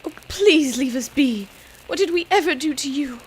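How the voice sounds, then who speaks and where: Weak, high-voiced exhausted mother. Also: expressive, moderate pace, background noise. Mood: pleading. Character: weak, exhausted wealthy mother, a frightened former captive. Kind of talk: old-time radio acting.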